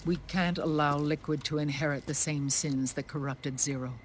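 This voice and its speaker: An actress playing a commanding older woman who leads a resistance group, speaking in a grave, measured way in a game cutscene. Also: deep voice, fairly even intonation, slight background noise, lightly acted. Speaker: resistance leader. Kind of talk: video game cutscene acting.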